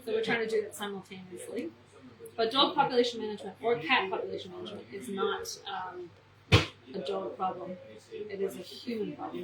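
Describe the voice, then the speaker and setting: An American woman co-founder of an animal welfare charity, answering interview questions earnestly with many ums and restarts. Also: mid-range voice. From United States. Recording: echoey, distant mic with loud crowd noise.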